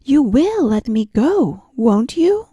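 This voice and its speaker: Woman as a sly, smug, slow-talking storybook cat. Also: sits high, sing-song, dark tone, breathy edge, no echo, clean studio sound, heavily acted. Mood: coaxing. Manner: smug, coaxing.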